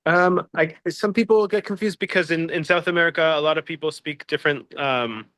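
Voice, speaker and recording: A man's voice: a little high, brisk, pushed and bright, no room sound. A man from Peru answering interview questions in a casual, hesitant way, with many fillers and restarts. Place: Seoul, South Korea.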